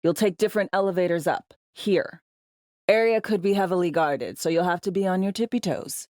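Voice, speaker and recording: An actress playing a tough, bossy, wry spy handler in a cyberpunk video game, with clipped, slangy, teasing delivery. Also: female voice, lowish voice, brisk, a little mellow, no room sound, clean studio sound, natural voice. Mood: brisk, wry briefing. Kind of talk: video game voice acting, briefings and radio guidance.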